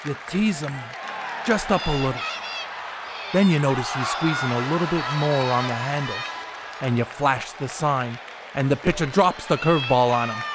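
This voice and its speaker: A man acting a bookish ballplayer over loud background noise. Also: mid-range voice, moderate pace, a loud crowd, close to an everyday voice. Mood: reflective. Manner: precise, literate, poetic phrasing. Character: bookish, thoughtful college-educated 1930s ballplayer, quietly self-assured. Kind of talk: amateur stage play acting.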